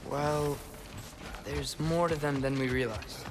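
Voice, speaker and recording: A man's voice: a little high, fairly even intonation, takes it slowly, background noise. A young man playing an eager, inquisitive teenage warrior's son in a video game, earnest. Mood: earnest, curious. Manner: earnest, explanatory, youthful. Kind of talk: video game cinematic acting.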